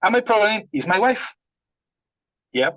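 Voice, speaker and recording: A man with a Spanish accent, whose first language is Spanish, talks very slowly and haltingly, with many restarts as he searches for English words. His voice sits a little high and comes through a dull, muffled, dry call connection. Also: very animated, pushed and bright, dry recording. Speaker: participant sharing a personal problem.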